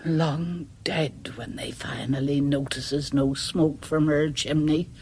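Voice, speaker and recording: An actress playing a superstitious, deferential village charwoman in a radio drama, with a rural English accent and a halting, folksy way of talking. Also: low voice, steady tone, darkish tone, breathy edge, heavily acted. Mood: hushed storytelling.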